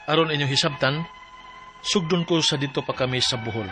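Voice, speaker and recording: An actor with a Cebuano Filipino accent playing a troubled, devoted forty-year-old farmer in a radio drama. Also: male voice, a little high, a little fast, close to an everyday voice. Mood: earnest narrating. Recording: faint music bed.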